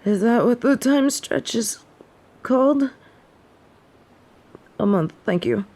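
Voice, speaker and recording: Woman as snobby fallen angel, higher-pitched voice, unhurried delivery, formal and imperious, under the weather with a cold, audio roleplay. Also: expressive, a little mellow, full character voice. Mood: proud, defensive. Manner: haughty, formal, archaic phrasing. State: sick with a head cold, sore throat.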